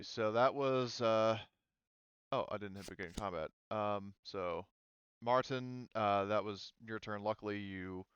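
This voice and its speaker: A man with a lowish, very clear voice runs a tabletop role-playing session as game master. He thinks aloud in halting stretches. The recording is dry and slightly muffled. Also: bright, forceful tone, dry recording.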